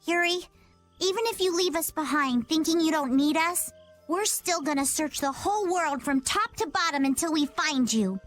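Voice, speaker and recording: A woman voicing a composed, gently teasing young woman in a video game, with a calm, softly amused delivery. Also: high-pitched, normal speed, faint music behind. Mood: sincere.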